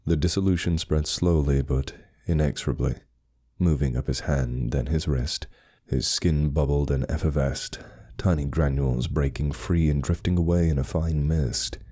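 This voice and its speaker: Male narrator for scripted horror: extremely low pitch, dark mellow tone, dramatic delivery. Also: steady tone, moderate pace.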